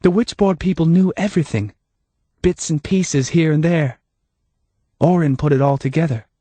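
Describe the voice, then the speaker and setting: A man voicing a woman who speaks in a soft, measured, knowing way, calmly accusing, with a slightly husky voice. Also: fairly high pitch, no room sound, pitched above the performer's own voice, lightly acted. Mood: matter-of-fact. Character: calm, pitying woman, quietly accusing.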